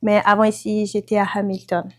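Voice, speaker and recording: A woman from Congo giving a calm, reflective personal testimony. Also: medium pitch, a little fast.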